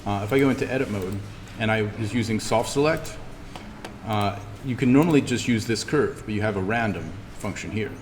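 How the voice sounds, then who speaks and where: A man giving an informal in-class software tutorial, explaining step by step as he demonstrates. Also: lowish voice, brisk. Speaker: instructor. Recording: reverberant room with background noise.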